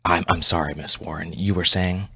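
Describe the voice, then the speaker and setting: A man voicing a calm, formal, skeptical doctor with a polite, educated manner in an audio story. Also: sits low, normal speed, husky, dry recording, clean studio sound, heavily acted. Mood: polite, puzzled. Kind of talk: storybook character voice.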